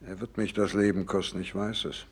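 A gravely ill radiologist in a 1960s radio drama. The voice is fairly low and husky, and he speaks with measured, earnest resolve, insisting on his work despite his illness. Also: little pitch movement, exaggerated voice. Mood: grave, resolute. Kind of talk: 1960s German radio play acting.

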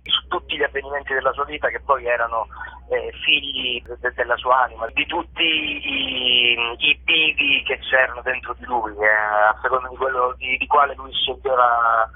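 A man's voice: mid-range voice, fairly even intonation, moderate pace, breathy edge. A male stage actor giving a casual radio interview, speaking enthusiastically and often hesitating and repeating words as he searches for the phrase. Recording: narrow phone-like line.

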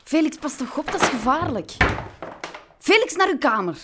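An actress playing an indignant, melodramatic wife in a break-up, with a Flemish accent, in a comedic radio play. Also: female voice, high-pitched, sing-song, moderate pace, very noisy. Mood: scolding. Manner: melodramatic, exasperated.

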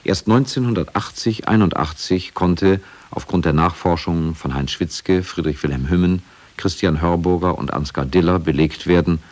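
A man with a low voice narrates a radio documentary. His delivery is scripted, sober and measured, setting out facts plainly.

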